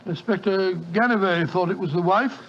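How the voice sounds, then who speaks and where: An actor playing an earnest, easily flustered doctor in a 1940s radio drama. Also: male voice, high-pitched, moderate pace, close to an everyday voice. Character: earnest, bluff British doctor sidekick. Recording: dull old radio broadcast.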